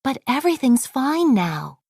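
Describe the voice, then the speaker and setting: A woman's voice: high-pitched, sing-song, measured pace, darkish tone, slightly rough, no room sound, studio quality, voice pushed up, full character voice. A woman voicing an eager, earnest young princess in a children's storybook, with a pleading childlike manner.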